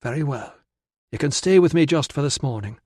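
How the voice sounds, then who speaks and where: A man's voice: a little high, expressive, fairly quick, a little mellow, no room sound, voice pushed up. A man narrator plays a firm, sceptical married woman in an audiobook. The delivery is curt and impatient, with a no-nonsense edge. The sound is dry and close. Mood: resigned, firm. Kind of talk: audiobook character voice.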